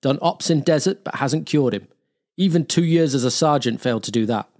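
A man's voice: medium pitch, fairly quick, darkish tone, natural voice. A man playing a wartime air force airman, reading a blunt, slangy diary in a terse, clipped manner. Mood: wry, dismissive. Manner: clipped, terse, matter-of-fact.

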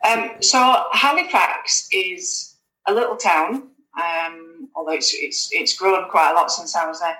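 A woman talking as a podcast guest, chatty and a little hesitant, pausing on fillers and starting her sentences over. Her voice sits a bit low but sounds bright and forceful. The recording echoes around the room. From West Yorkshire, England. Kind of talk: casual conversational interview.

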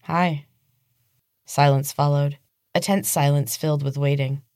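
A woman narrates fiction in a dramatic audiobook style. Her voice sits low for a woman. The recording is dry, with no room sound around her. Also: little pitch movement, moderate pace, darkish tone, clean tone, no room sound. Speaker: podcast host and author reading own novel.

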